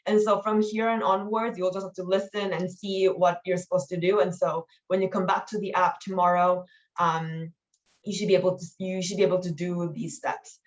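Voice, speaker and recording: A woman leading an online training meeting, explaining steps informally in a casual, halting way over lo-fi call audio. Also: lowish voice, flat delivery. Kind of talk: informal instructional walkthrough with slides.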